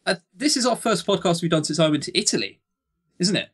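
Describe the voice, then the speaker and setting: A man's voice: high voice, lively intonation, quick pace, slightly rough. A man of twenty-two co-hosting a podcast in casual, joking chat, with tongue-in-cheek, self-deprecating banter. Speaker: podcast co-host.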